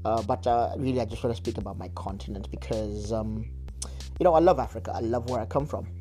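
A man's voice: fairly low pitch, fast, mellow, soft background music. A man from Zimbabwe hosting a solo podcast, talking earnestly with many restarts and repeated words. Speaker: podcast host. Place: United Kingdom.

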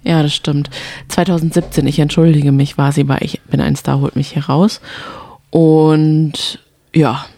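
A woman with a mellow, gravelly voice chats casually as a podcast co-host. She speaks somewhat hesitantly, piecing things together as she goes, and the recording is close and dry. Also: low voice, little pitch movement, unhurried, no room sound. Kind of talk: casual chat about reality TV.

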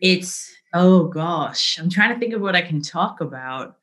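A middle-aged woman speaking as an interview guest, telling candid work stories in a lively, conversational way, often asking "right?". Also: lowish voice, unhurried. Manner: animated, frequent "right?" and "like", laughs easily. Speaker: interview guest, business leader.

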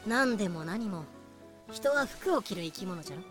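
A woman's voice: medium pitch, lively intonation, normal speed, natural voice. A woman acting a haughty wise wolf woman who sells slaves, using proud, old-fashioned courtly speech in a fan-made voice drama. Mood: brisk, commanding. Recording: music behind the voice.

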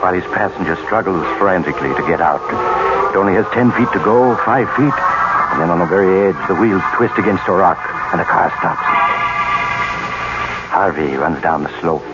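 A man's voice: low-pitched, moderate pace, lightly acted. An actor as an ominous male storyteller, narrating a mystery in dramatic old-time radio style. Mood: urgent, suspenseful. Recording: muffled old radio recording. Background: loud dramatic music.

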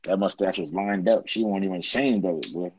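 A man's voice: low voice, fairly quick, darkish tone, muffled recording, slight background noise. A man in his thirties, a podcast guest, telling stories in a laid-back, slangy way and often checking in with "you know what I mean". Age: 30s. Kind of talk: casual storytelling chat.

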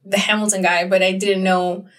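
A young woman co-hosting a podcast with a slightly raspy voice, chatting in an easygoing, rambling way. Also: medium pitch, flat delivery, normal speed, distant mic. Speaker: podcast co-host. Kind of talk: casual chat.

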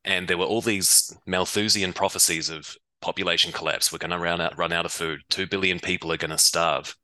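A man from New Zealand with a New Zealand accent, a startup co-founder and CEO explaining things in a relaxed way as a podcast guest. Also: sits low, a little fast, no room sound, poor audio. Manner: relaxed explaining, uses reckon and heaps. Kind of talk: podcast interview.

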